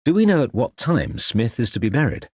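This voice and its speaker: A man's voice: mid-range voice, very animated, fairly quick, darkish tone, breathy edge, dry recording, studio quality, pitched above the performer's own voice. A man voicing a polite, dutiful police detective in an audiobook, formal and restrained. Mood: composed, formal. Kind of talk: audiobook character acting.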